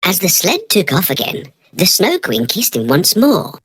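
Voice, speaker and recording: A woman's voice: lowish voice, huge pitch swings, unhurried, breathy edge, no room sound. A woman narrating a children's storybook from a script.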